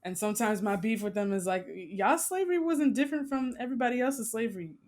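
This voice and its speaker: A podcast co-host chatting casually, with a relaxed, laughing manner. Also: mid-range voice, fast talker. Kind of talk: casual discussion.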